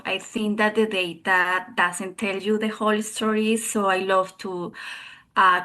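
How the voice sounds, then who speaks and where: Woman, Colombian accent, bright tone, earnest panelist on a video call, hesitant pacing. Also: medium pitch, rough recording. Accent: Colombian Spanish. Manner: earnest, with frequent hesitations and tag questions. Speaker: researcher and consultant on a panel.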